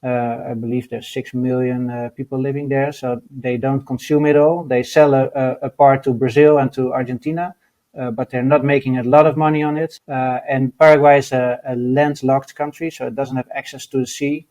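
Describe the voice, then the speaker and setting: A man from the Netherlands with a Dutch accent, giving interview answers in a halting way with many fillers and restarts. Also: mid-range voice, flat delivery, a little mellow. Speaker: guest, mining entrepreneur.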